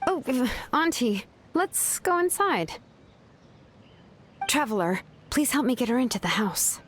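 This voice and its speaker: Considerate young woman, lively intonation. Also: high-pitched, a little slow, slight background noise. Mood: caring. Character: laid-back, considerate young woman, helpful family friend. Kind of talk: video game voice acting.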